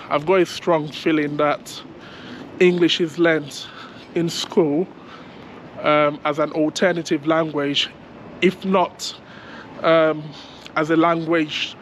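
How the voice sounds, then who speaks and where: Hoarse man vlogging slowly outdoors. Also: a little high, darkish tone, slight background noise, poor audio. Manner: hesitant, many ums, thinking aloud. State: hoarse, sore throat. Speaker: travel vlogger. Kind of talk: casual travel vlog, walking outdoors. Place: outdoors on city streets.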